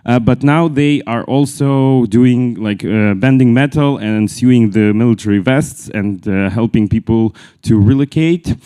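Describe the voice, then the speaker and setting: A man presenting at a conference in halting, English, full of fillers and restarts. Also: medium pitch, warm tone, clean tone. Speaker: panel speaker.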